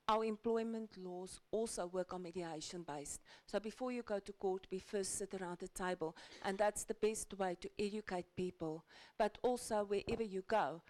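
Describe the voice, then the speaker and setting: A woman's voice: mid-range voice, a little mellow. A woman from South Africa, a lawyer on a panel, talking hesitantly with many ums and restarted sentences. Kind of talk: panel discussion. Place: large conference hall.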